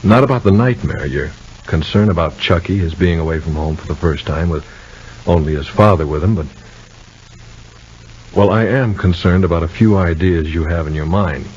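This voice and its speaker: An actor playing a calm, gently bossy man who soothes and coaxes in a 1950s radio soap opera. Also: low-pitched, normal speed, slightly rough. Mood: concerned, reasoning. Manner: soothing, coaxing, conversational. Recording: noisy, dull vintage radio recording.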